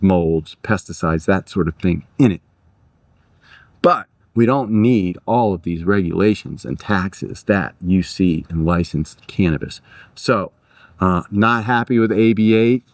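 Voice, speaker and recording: A man's voice: medium pitch, no room sound, studio quality, distant mic. A middle-aged American man giving an emphatic opinion monologue as host, repeating phrases and answering his own rhetorical questions. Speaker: host, industry advocate. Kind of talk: opinion monologue, advocacy talk to camera.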